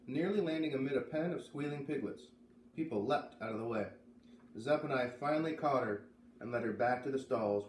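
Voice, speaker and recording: A man reading a children's novel aloud as an expressive storybook narrator. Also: medium pitch. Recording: roomy, distant mic, faint noise.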